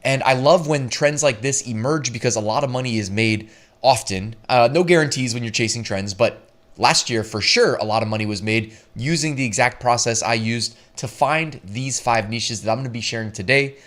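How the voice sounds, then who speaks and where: A man hosting a weekly online business show in a casual, chatty way, his voice slightly hoarse, often laughing and making asides. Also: medium pitch, moderate pace, fairly bright tone. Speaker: print-on-demand show host. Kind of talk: casual talking-head business tips.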